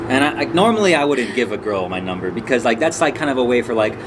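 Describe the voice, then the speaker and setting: A man's voice: mid-range voice, big pitch swings, fast talker. A man hosting a casual vlog, telling a story in a rambling, chatty way. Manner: rambling, chatty, restarts sentences. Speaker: vlog host. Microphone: camera on a phone or camera nearby. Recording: noisy background.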